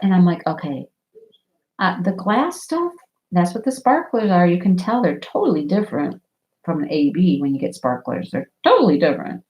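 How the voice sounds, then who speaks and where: Woman, stuffy nose, sniffly, low-ish voice, casual rambling craft chat. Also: sing-song, normal speed, muffled recording. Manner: rambling, chatty, laughs often. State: stuffy nose from a sinus infection. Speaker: diamond painting livestream host.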